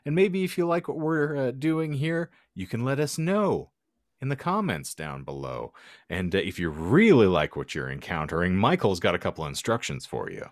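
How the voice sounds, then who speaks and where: A man's voice: fairly low pitch, sing-song, moderate pace, dry recording, clean studio sound. A man hosting a casual comedy podcast, joking in mock-grandiose, wordy phrases. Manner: jokey, mock-grandiose wordplay. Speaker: podcast host.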